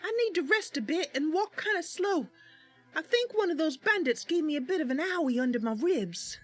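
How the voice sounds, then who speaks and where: A woman's voice: very high voice, expressive, moderate pace, faint music behind. A woman voicing an earnest, chatty young female wood elf knight in a fantasy game roleplay, with a cheerful, artless manner.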